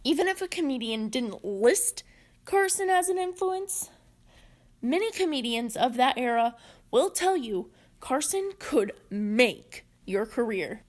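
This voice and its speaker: A woman presenting a prepared explanatory narration in a measured, deliberate way. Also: sits high, big pitch swings. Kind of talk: prepared video-essay narration on comedy history.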